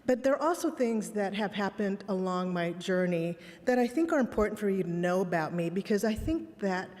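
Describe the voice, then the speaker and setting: A woman's voice: medium pitch, expressive, normal speed, darkish tone. Woman giving a candid keynote, hall reverb. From Texas border town, raised in Los Angeles. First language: Spanish. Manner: candid, reflective storytelling with rhetorical questions. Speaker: conference speaker. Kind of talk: personal keynote speech. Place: conference hall. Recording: long reverb in a large hall.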